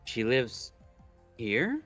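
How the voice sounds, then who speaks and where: A man's voice: mid-range voice, wildly swooping pitch, extremely slow, fairly bright tone. A man co-hosting a casual visual novel stream, joking, needling and swearing freely, with music behind him. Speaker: stream co-host reading the game's lines aloud. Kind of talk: casual banter and reading aloud from a visual novel.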